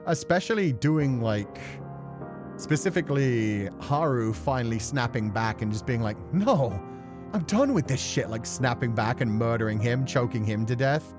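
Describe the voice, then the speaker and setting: A man's voice: mid-range voice, expressive, normal speed. Man chatting casually over music. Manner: rambling, thoughtful, casually sweary. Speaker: streamer and voice actor who plays visual novels. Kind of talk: casual livestream chat, reading and answering viewer comments.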